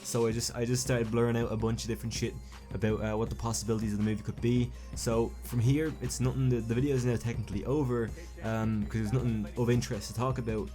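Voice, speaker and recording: A young man talks quickly into a home mic, his voice dark and mellow. He rambles and stumbles through his commentary, restarting phrases and correcting himself as he goes. Faint music plays underneath. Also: mid-range voice, level tone. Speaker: YouTube film commentator. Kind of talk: casual video commentary. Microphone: home recording mic.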